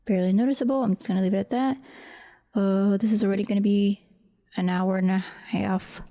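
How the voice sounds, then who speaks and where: Mellow woman muttering as she works. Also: mid-range voice, fairly even intonation, fairly quick. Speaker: voice actor, audio creator. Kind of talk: casual behind-the-scenes commentary while editing audio.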